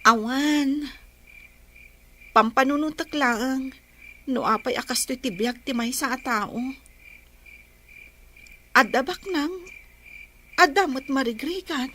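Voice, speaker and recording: A woman playing an earnest, poor young village woman with a plaintive, measured delivery in a radio drama. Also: high-pitched, fairly bright tone, a little hiss or hum. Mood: troubled, pensive.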